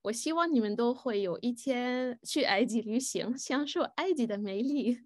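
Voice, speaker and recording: A woman fields audience questions, talking slowly and hesitantly with many pauses and fillers. Her voice sits a little high. The recording is close and dry with no room sound. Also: expressive, no room sound. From Egypt. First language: Arabic. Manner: switches between Chinese and English. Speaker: guest speaker answering audience questions. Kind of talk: live Q&A at a student forum.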